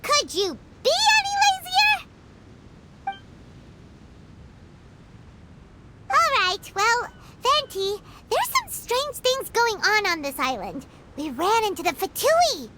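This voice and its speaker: A woman voicing a small, chatty, excitable fairy companion in a video game, with a squeaky childlike voice. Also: a little slow, noisy background, heavily acted. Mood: whiny, exasperated. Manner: speaks of self in third person, expressive. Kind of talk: video game character acting.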